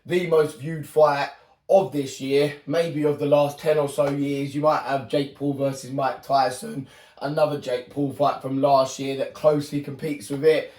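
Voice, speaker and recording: Hoarse man, echoey room. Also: medium pitch, flat delivery, unhurried, a little mellow, far from the mic. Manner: blunt, swears freely. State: hoarse with flu. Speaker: boxing YouTuber. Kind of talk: casual prediction monologue.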